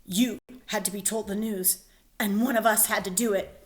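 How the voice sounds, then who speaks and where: A woman's voice: mid-range voice, steady tone, normal speed, pitched below the performer's own voice, lightly acted. A woman voicing a frightened male soldier who rambles nervously and stammers. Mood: panicky. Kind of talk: dramatic reading of a play.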